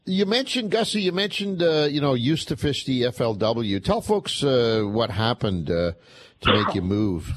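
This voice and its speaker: A man's voice: medium pitch, expressive, normal speed, slight background noise. A Canadian man hosting a radio interview, chatty and enthusiastic, with many fillers. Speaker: radio host.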